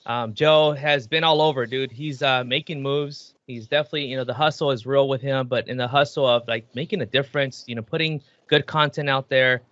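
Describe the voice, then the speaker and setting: A man's voice: mid-range voice, steady tone, brisk, few pauses, a bit bright, no echo, muffled recording. A man hosting a podcast, chatting loosely with slang and Spanish words mixed in. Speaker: podcast host. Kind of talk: casual chat.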